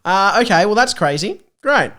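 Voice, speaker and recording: A man's voice: high voice, sing-song, normal speed, sharp tone. A man hosting a podcast, chatting casually and reading aloud from a story in a self-mocking way, often breaking into laughter. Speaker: podcast host. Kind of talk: casual comedy chat, reading aloud his own old fan fiction.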